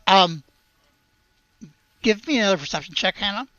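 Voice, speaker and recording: A game master narrating a tabletop roleplaying game in a halting way, searching for words. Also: mid-range voice, animated, fairly bright tone, no echo, muffled recording.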